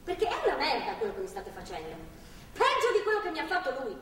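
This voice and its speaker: Stubborn, bitter young woman held captive; high, animated stage voice in a reverberant hall. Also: fast talker, exaggerated voice. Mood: angry, bitter. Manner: blunt, coarse, emphatic. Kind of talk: live stage drama dialogue. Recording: distant echoey theatre hall, faint noise.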